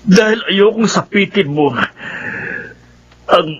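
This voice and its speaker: A man's voice: high voice, slow, husky, dull audio, exaggerated voice. A man playing a stern, resentful middle-aged father in a radio drama, confrontational in manner. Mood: grave, stern. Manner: forceful, confrontational.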